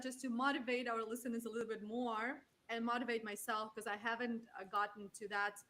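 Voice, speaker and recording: A woman's voice: fairly high pitch, sharp tone, a little hiss or hum. A woman from Ukraine, co-hosting a casual live-stream chat. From Odessa, Ukraine. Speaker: show co-host.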